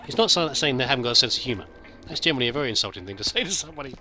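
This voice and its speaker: A middle-aged British man with a soft accent, telling a rambling, self-deprecating story over gameplay, full of stops and restarts. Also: mid-range voice, expressive, very fast, a crowd in the background. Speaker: gameplay commentator.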